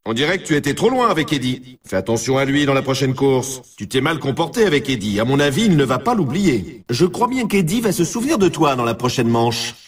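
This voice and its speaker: A man playing an excitable race announcer in a snowboarding video game, emphatic and talking straight to the player in a familiar, needling way. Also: mid-range voice, a little fast, hardly any pauses, slightly rough, distant mic. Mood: teasing warning. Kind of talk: hyped sports commentary for a video game.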